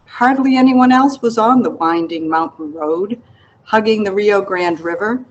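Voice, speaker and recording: Slow, deliberate woman reading memoir. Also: mid-range voice, highs cut off. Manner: measured, deliberate, reflective. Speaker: author reading an excerpt of own book. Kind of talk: literary memoir narration, read aloud.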